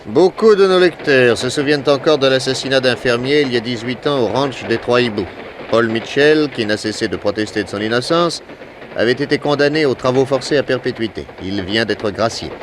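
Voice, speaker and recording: An actor playing a shrewd, brisk and cautious private detective in a French 1960s radio drama. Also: male voice, mid-range voice, lightly acted. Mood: reading aloud. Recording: noisy old radio recording.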